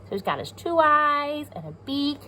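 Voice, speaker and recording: A woman's voice: high voice, very animated, normal speed, sharp tone, slight background noise. A woman with an American accent reading a picture book aloud to young children, explaining simply and asking them questions as a pre-K teacher.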